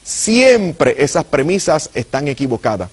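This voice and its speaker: Husky Puerto Rican preacher man. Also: a little high, very animated, unhurried. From Puerto Rico. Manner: earnest, addresses viewers directly. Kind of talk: apologetics teaching.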